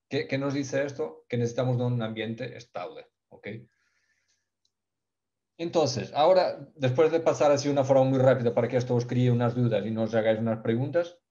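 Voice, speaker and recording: A man from Portugal with a Portuguese accent presents a technical topic in a second language. His voice is mellow and dark, and he stumbles, restarts and searches for words. The sound is dull and lo-fi, like call audio. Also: fairly low pitch. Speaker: ventilation specialist.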